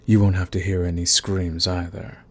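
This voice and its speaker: A man playing a cocky, menacing villain in an audio roleplay, taunting the listener with smug, unhurried threats. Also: sits low, level tone, slightly rough. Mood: cool mocking. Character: cocky, menacing male villain, coolly fond of his enemy. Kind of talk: ASMR roleplay acting, addressing the listener.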